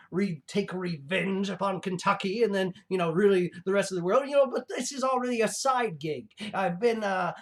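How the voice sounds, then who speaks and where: Someone playing a slow, halting, gleefully deluded villain. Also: mid-range voice, lightly acted. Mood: scheming, smug. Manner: halting, hesitant, prone to cackling. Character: gleefully deluded mad-scientist villain, self-proclaimed genius bent on revenge. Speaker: show host. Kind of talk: rambling comic in-character monologue.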